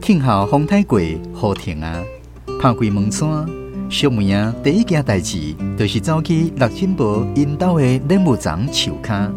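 Animated Taiwanese male storyteller. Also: medium pitch, a little slow, music in the background. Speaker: narrator. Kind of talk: expressive scripted storytelling narration.

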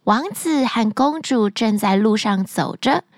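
A woman narrating a fairy tale as a bedtime story, gently and expressively, close to the mic. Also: a little high, animated, unhurried, no room sound.

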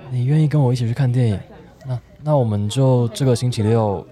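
A man playing a wordy, lovestruck high school boy in a radio drama, given to mock-solemn, theatrical turns. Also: fairly even intonation, brisk, mellow, some chatter behind, close to an everyday voice. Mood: hopeful. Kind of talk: radio drama dialogue and inner monologue. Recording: music behind the voice.

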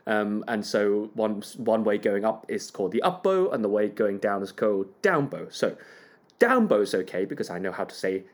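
A man's voice: on the low side, sing-song, moderate pace. A man from Hong Kong whose first language is Cantonese. He is a conductor telling stories as a podcast guest, chatty and self-deprecating. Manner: modest, chatty storyteller with laughs and self-deprecating humor. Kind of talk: casual interview chat.

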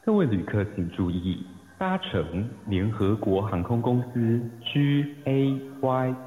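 A man's voice: medium pitch, animated, slow. A man playing a formal, courteous airline announcer in a radio drama, with dull, echoey sound.

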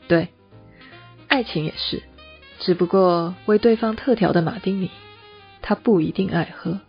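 A woman's voice: on the low side, a little slow, vocal fry, long pauses. A woman playing a reserved, composed female bar manager in an audio drama, speaking tersely with a Taiwanese Mandarin accent. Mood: composed, wry. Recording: slightly dull audio with music.

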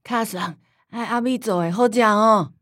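A woman playing a forgetful, homely old grandmother in a radio drama, rambling and sighing with a Taiwanese accent and drawn-out sentence endings. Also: mid-range voice, a bit bright, no echo, clean studio sound, natural voice. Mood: homely, pleased.

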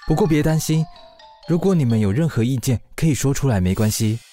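Man acting flustered gentle dad, animated pitch, Taiwanese accent. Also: fairly quick, faint music behind, close to an everyday voice. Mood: hesitant. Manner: hesitant, sighing, soft-hearted. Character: gentle, flustered widowed father of two children. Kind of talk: Mandarin radio drama acting.

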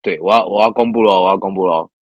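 Husky low-voiced male host. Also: fast, no room sound, dull audio. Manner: encouraging, frequent murmured agreement, playful. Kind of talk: casual interview hosting.

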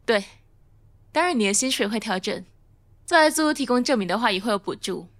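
A woman acting a composed, frank office manager in a radio drama, businesslike and measured. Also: a little high, lively intonation, a bit bright. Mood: approving.

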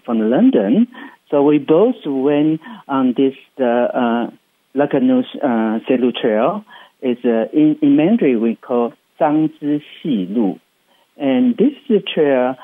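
Man, Taiwanese accent, Chinese native, enthusiastic explainer, halting English, thin phone-like audio, very dry. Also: mid-range voice, dry recording. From Hsinchu, Taiwan. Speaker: interview guest, travel writer and radio host. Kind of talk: chatty radio interview. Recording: muffled, phone-like radio sound.

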